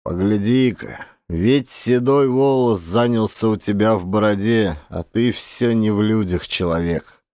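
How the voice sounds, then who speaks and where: A man's voice: fairly low pitch, measured pace, a little mellow, no echo, clean studio sound, close to an everyday voice. A man voicing a pious, worn-out old village workman who grumbles in reproach, in an audiobook. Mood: reproachful.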